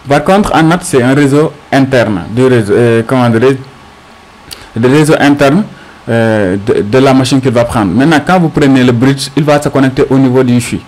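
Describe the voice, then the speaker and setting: A man with a mid-pitched voice walks through a screencast tutorial. He speaks off the cuff as an instructor, haltingly, posing small questions and answering them as he explains each step. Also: a little mellow, poor audio.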